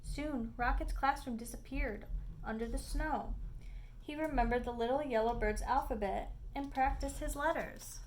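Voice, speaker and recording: A woman reading a children's picture book aloud in an expressive, deliberate narrating style. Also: sits high, slight background noise, poor audio. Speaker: storybook reader.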